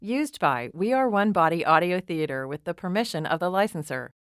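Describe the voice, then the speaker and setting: A woman announces a scripted credit in a slightly low voice. The tone is smooth and clear with lively inflection, recorded dry. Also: a little fast, dry recording.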